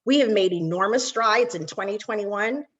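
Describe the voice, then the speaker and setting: A woman's voice: medium pitch, moderate pace, highs cut off. A woman from Barbados, a nonprofit executive, giving an earnest webinar welcome with frequent ums.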